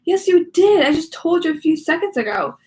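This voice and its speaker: Grumpy male storybook teacher played by a woman, high-strung, complaining, pitched up. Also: sing-song, fairly quick, a little mellow. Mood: grumbling. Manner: irritable, bossy, complaining.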